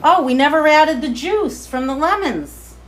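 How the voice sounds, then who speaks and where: A woman with a light Boston accent hosting a live cooking demo from her kitchen, chatty and excitable as she talks to viewers. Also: high-pitched, a little slow, a crowd in the background. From Boston area. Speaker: cooking show host. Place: home kitchen, indoors.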